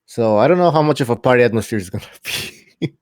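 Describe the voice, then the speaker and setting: A man's voice: medium pitch, lively intonation, fast, a little mellow, no echo. A man co-hosting a casual podcast, talking hesitantly with many "uh" and "like" fillers. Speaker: podcast co-host. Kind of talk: casual talk show chat.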